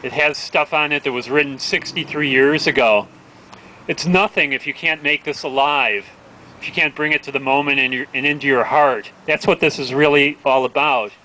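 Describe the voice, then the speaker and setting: A man's voice: fairly high pitch, steady tone, normal speed, sharp tone, low-quality recording. A man hosting an outdoor public event, speaking informally and earnestly, urging his listeners on. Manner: earnest, exhortatory. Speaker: event host introducing readers. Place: outdoor town plaza. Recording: outdoor event with street traffic noise.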